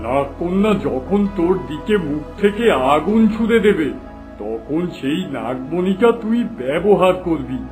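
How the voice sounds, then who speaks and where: A man plays a stern cartoon holy hermit with a fairly high voice for a male. He speaks emphatically and commandingly, as a grudging adviser, over background music. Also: animated, a little slow. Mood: grave, instructing. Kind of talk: dramatic cartoon voice acting.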